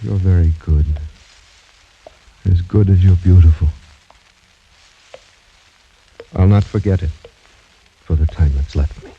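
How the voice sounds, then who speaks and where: An actor playing a proud, doomed young French gentleman in formal, courtly, brooding old-time radio drama style. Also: low-pitched, moderate pace, vocal fry, a little hiss or hum. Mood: tender. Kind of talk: 1940s-50s American radio drama acting. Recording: dull, muffled old radio broadcast recording.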